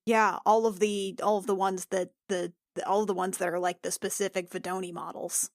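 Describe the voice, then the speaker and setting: A woman playing a tabletop game, talking in halting, restarting phrases, half in character and half as herself. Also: mid-range voice, flat delivery, no echo, studio quality. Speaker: player.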